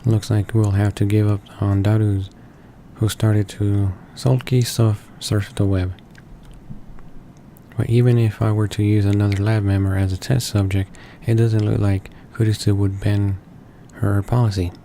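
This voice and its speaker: Low, flat male game read-along voice. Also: a little hiss or hum. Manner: halting, stumbles over words. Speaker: let's play reader. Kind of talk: reading game text aloud. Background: game music.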